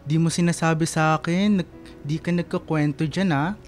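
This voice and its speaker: A woman's voice: low-pitched, steady tone, moderate pace, darkish tone, lightly acted. A woman voicing a boastful, playful young boyfriend in a radio drama, with a joking, blustering delivery. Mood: mock indignant. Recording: music bed behind dialogue.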